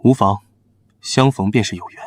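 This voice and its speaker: An actor playing a calm, mighty male god in disguise in a fantasy drama, measured and unhurried. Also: on the low side, no room sound, lightly acted.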